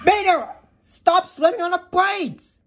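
A woman's voice: lowish voice, sing-song, normal speed, noisy background, pitched below the performer's own voice. A woman voicing a bossy, impatient male cartoon car who instructs and scolds as a driving teacher. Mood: exasperated.

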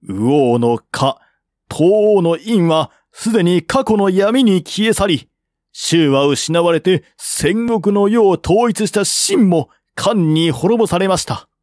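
Man voicing a high-pitched, insistent court envoy. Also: animated, slow, a little mellow, no echo, clean studio sound. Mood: argumentative. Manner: formal, deferential, argumentative. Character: earnest, protesting male court envoy, polite and insistent. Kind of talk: historical audiobook dialogue.

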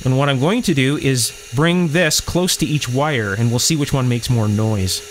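A man's voice: mid-range voice, normal speed, slight background noise. A man with a mid-range voice talks through repair work as he does it. He explains each step methodically, in the manner of someone giving a practical demonstration. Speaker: electronics repair host. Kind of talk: hands-on repair demonstration, talking while working.

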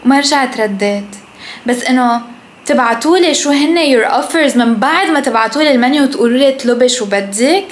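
A woman's voice: high-pitched, lively intonation, fairly quick. A young adult woman with a Lebanese Arabic accent chats casually to camera as a food vlogger, mixing English phrases in and speaking bluntly. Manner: blunt, swears freely. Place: indoors at home, kitchen and living room. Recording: clear background noise.

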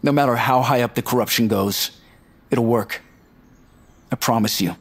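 An actor playing an eager, cocky, talkative tech strategist in a naturalistic audio drama. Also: male voice, medium pitch, normal speed, natural voice. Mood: confident. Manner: talkative, boastful, informal. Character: eager, cocky, nerdy male tech strategist, chatty roommate.

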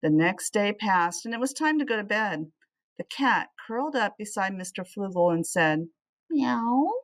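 A middle-aged woman reads a picture book aloud to children. Her pitch moves around a great deal, and she adds little asides in an animated teacherly manner. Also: moderate pace. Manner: animated, addressing young listeners. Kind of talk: expressive children's storybook read-aloud with asides.

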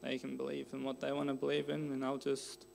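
A young Australian man in his twenties, answering questions on a church stage through a handheld mic, relaxed and loose with plenty of fillers. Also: medium pitch, brisk, creaky, slight background noise. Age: 20s. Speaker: interviewee, church member. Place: church stage, large hall.

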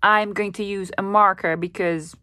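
A woman from the Netherlands with a Dutch accent, hosting a casual, chatty paper craft tutorial at her desk and often pausing with fillers. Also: medium pitch, fairly even intonation, bright, forceful tone, smooth. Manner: chatty, self-deprecating, with frequent fillers. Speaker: craft channel host.

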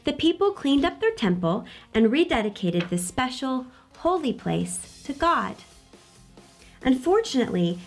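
A middle-aged woman hosting a children's read-aloud, speaking expressively and emphatically with dramatic pauses. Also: a little high, a little hiss or hum. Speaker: children's storytime host.

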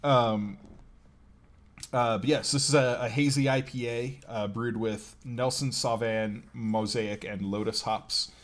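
A man's voice: mid-range voice, steady tone, slow. A man co-hosting a podcast, chatting casually in an easygoing, hesitant way. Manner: easygoing, hesitant, many ums and uhs. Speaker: podcast co-host. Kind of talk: casual chat. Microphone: video call.

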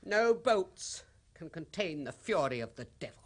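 A woman's voice: deep voice, big pitch swings, moderate pace. A stern, pious old grandmother in a 1970s radio horror play. Her delivery is grave and commanding, with theatrical phrasing that rises and falls dramatically. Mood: reproachful. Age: older. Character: stern, devout old grandmother guarding a family curse.

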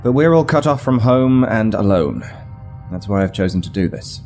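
An actor playing a weary but resolute military starship captain in an audio drama, measured, formal and authoritative. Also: male voice, fairly low pitch, expressive, a little mellow, faint music behind. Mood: grave, earnest.

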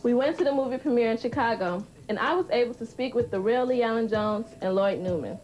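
A woman's voice: on the high side, fast, a little mellow, soft background music, rough recording. A young woman reading scripted narration as a youth TV reporter.

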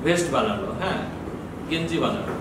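A man in his sixties with a Bangladeshi accent talks quickly, explaining like an informal teacher who asks and answers his own questions. He is recorded far from the microphone in a strongly echoing office with clear background noise. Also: on the high side, expressive. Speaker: customs bond official giving training. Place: small office with bookshelves, indoors. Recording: very echoey, distant mic, noisy room.

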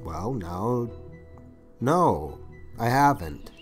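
A gentle, bashful older farmer, acted by a man in a storybook. He talks slowly and haltingly, in a mild manner, with faint music underneath. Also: mid-range voice, very animated. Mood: shy, hesitant. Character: shy, slow-spoken, gentle older farmer. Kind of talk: audiobook character acting.

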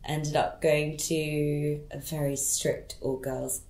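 A woman in her late twenties from Hong Kong whose first language is French, telling her story in a relaxed, easygoing way. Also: sits low, slow, echoey room. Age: late 20s. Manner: relaxed, laughing easily, storytelling. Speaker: guest. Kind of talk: casual interview answers.

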